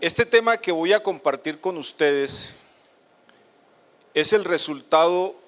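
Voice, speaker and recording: A middle-aged man from the Colombian Caribbean coast, with a coastal accent mixed with Medellín's, giving a halting lecture to an auditorium with many fillers. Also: fairly high pitch, lively intonation, fairly bright tone. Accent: Colombian, coastal mixed with Medellín paisa. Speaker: speaker at a lecture. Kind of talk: lecture to an audience.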